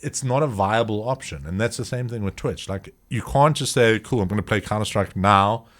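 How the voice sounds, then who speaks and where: A man in his thirties with a lowish voice talks loosely on a podcast. He rambles, restarts and slips into quick acted-out exchanges to make his point. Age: 30s. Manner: rambling, animated, acts out little scenes. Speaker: podcast co-host. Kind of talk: casual chat.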